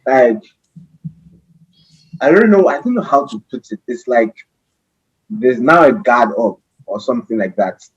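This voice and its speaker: Husky, hesitant man, lively pitch. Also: unhurried, pauses often, highs cut off. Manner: hesitant, searching for words. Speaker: podcast guest. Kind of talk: casual group chat.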